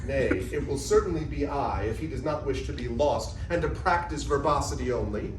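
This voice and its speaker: A man's voice: medium pitch, expressive, fairly quick, natural voice. A man playing an old-fashioned, indignant moralizing debater in an amateur dramatic reading of ancient comedy. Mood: disgusted insults. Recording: echoey room, distant mic, faint noise.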